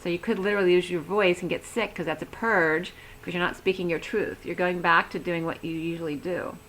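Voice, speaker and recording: A woman giving a rambling, chatty tarot reading, talking with a voice that is going hoarse. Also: lowish voice, fairly even intonation, fairly quick, fairly bright tone, slight background noise, low-quality recording. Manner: chatty, rambling, laughs easily. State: losing her voice. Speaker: tarot reader. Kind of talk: casual tarot reading to camera.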